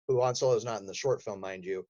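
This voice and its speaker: A man's voice: lowish voice, fast, dark tone. Man, slightly dull lo-fi audio. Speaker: podcast guest, voice actor and audio drama writer. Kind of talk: casual interview conversation.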